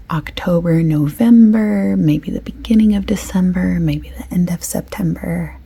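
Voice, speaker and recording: A woman doing a soft-spoken ASMR shop assistant roleplay, often slipping into a breathy whisper as she explains things in chatty, unhurried detail. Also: on the low side. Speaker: ASMR creator playing a perfume shop assistant. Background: light clicking and tapping of items.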